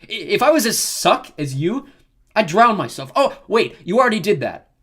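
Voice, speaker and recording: A man's voice: high voice, very animated, brisk, fairly bright tone, voice pushed up. A man voicing a cocky, hyperactive teenage boy in an audiobook, brash and swaggering as he shows off. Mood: taunting, gleeful. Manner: swaggering, brash, exaggerated bragging. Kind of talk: audiobook character voice.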